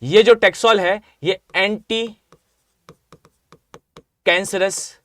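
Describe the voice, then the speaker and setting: A hoarse man with an Indian accent teaching a live online class, often asking students to reply. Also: high-pitched, fairly even intonation, very slow, fairly bright tone. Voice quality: mixes Hindi with English phrases. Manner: addresses students directly, asks them to reply.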